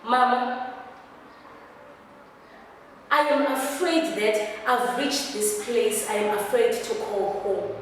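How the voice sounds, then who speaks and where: A woman's voice: high voice, sing-song, fairly bright tone, a little hiss or hum, exaggerated voice. A South African woman performing a declamatory stage monologue as an anguished young daughter protesting to her people. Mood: fearful, despairing. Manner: declamatory, deliberate, repeating phrases with rising emphasis. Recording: very echoey hall, distant mic, faint music.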